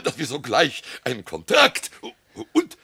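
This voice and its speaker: A man's voice: mid-range voice, huge pitch swings, unhurried, sharp tone, exaggerated voice. An actor playing a flustered small-town mayor and father in a radio comedy, stammering, blustering and repeating himself. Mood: eagerly flustered. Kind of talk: theatrical radio comedy acting.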